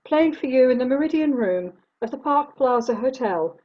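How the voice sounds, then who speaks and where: Husky high-pitched newsreader, acted by a woman. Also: lively intonation, normal speed. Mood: formal, urgent. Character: earnest 1930s radio news bulletin reader. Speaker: radio news announcer. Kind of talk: scripted news bulletin in a radio play. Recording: dull, lo-fi sound.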